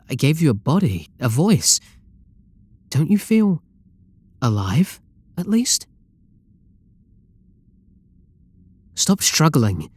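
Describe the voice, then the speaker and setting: A man's voice: mid-range voice, a little mellow, no echo. A man with a British accent playing an obsessive, possessive, lovestruck game developer in an intimate roleplay audio drama, doting and controlling. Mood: pleading, controlling. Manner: doting, intimate, pleading endearments.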